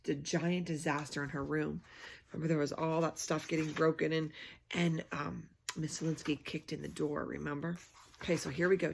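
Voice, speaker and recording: A woman's voice: on the low side, vocal fry. A woman storyteller reading a children's book aloud expressively, adding chatty asides and prompting listeners with questions.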